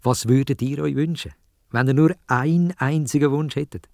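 A man with a Swiss German accent telling a children's story in a dramatic, expressive way, speaking directly to young listeners. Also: mid-range voice, moderate pace, creaky, no echo, clean studio sound. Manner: dramatic, addresses young listeners with questions and exclamations.

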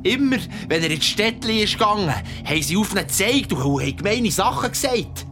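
A man's voice: high-pitched, big pitch swings, brisk, pushed and bright, heavily acted. A man with a Swiss German accent playing a father who tells his child a creepy bedtime story, theatrical and suspenseful. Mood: grim. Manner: theatrical, suspenseful, sometimes whispering. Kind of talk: audio drama storytelling. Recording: music behind the voice. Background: music.

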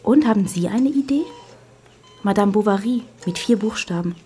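Woman playing mellow, idly puzzled nurse. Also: mid-range voice, normal speed, faint music behind, close to an everyday voice. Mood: idle, puzzled. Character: female hospital nurse idly puzzling over a crossword. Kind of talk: acted dialogue in an audio drama.

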